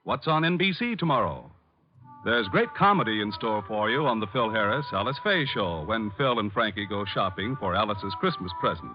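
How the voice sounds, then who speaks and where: An American male radio announcer in mid-century broadcast style, reading scripted copy with a very lively, swinging pitch. Music plays loudly behind him on a muffled old recording. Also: normal speed. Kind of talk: 1940s-50s radio announcing, scripted credits and promotion. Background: loud music.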